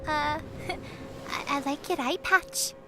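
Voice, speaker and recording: Woman playing a very high-pitched anxious bird girl. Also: slow, faint music behind, full character voice. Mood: nervous, hesitant. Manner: hesitant, gasping, emotionally expressive. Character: anxious, devoted young feathered bird girl. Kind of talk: audio mythology drama acting.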